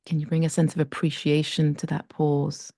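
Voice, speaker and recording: A woman guiding a breathing meditation, speaking slowly and gently with long pauses. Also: deep voice, completely flat delivery, dry recording, studio quality. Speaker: meditation guide.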